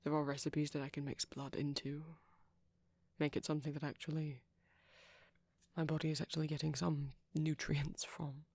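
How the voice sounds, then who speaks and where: A performer playing a shy, caring vampire boyfriend in an intimate ASMR roleplay, speaking softly and breathily with hesitant pauses. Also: mid-range voice, level tone, no room sound, natural voice. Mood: shy, reflective. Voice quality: breathy, often near whisper. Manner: soft-spoken, breathy, halting with gentle pauses.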